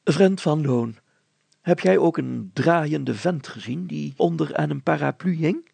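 A man's voice: on the high side, measured pace, gravelly, a little mellow, breathy edge, dry recording, voice pushed up, full character voice. A man voicing a flustered, pompous mayor in a children's story, hesitant, formal and full of hemming. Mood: puzzled.

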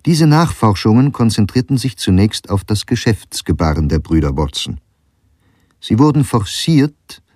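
A man reading scripted documentary narration in a measured, factual manner. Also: lowish voice, darkish tone, no room sound, clean studio sound.

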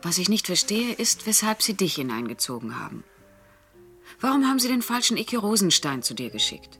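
An actress playing a shrewd, teasing confidante of a private detective in a German radio crime drama, speaking in a frank, probing way. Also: female voice, mid-range voice, very animated, brisk, a bit bright, faint music behind. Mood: puzzled, probing.

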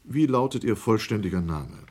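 A man's voice: fairly low pitch, lively intonation, normal speed, a little mellow. An actor playing a courteous, official male committee chairman who examines witnesses in a German documentary radio drama. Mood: formal, brisk. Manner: official, crisp wording, courteous.

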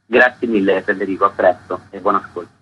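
A man's voice: low voice, steady tone, fairly quick, breathy edge, highs cut off. A man being interviewed on radio as a theatre author, explaining his work in an articulate way.

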